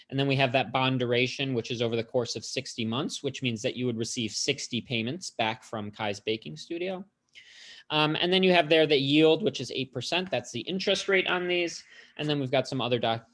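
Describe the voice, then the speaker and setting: A man's voice: medium pitch, fairly bright tone. A man from an investment platform walking viewers through how to buy small business bonds, explaining steadily with frequent "um"s. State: slightly losing his voice, clearing throat. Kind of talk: explanatory walkthrough.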